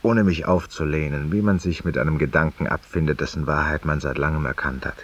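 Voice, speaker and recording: A man's voice: a bass voice, steady tone, fairly quick, slightly rough. An actor playing a world-weary, eloquent, guilt-ridden man who confesses intimately to an unseen companion in a 1950s radio drama monologue. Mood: haunted, brooding. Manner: intimate, rhetorical, addressing an unseen companion, sometimes dropping to a whisper. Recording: muffled, distant 1950s radio recording.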